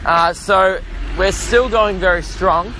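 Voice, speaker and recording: A man's voice: sits high, slow, noisy background. An Australian man hosting his podcast while walking, out of breath, chatting casually in a rambling, jokey, self-mocking way. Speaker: podcast host. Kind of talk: casual walking vlog chat. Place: outdoors, walking along suburban roads near traffic.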